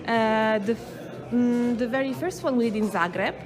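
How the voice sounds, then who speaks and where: A woman's voice: fairly high pitch, normal speed. Woman interviewer with music underneath. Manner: prompting, clarifying questions. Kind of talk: conversational interview.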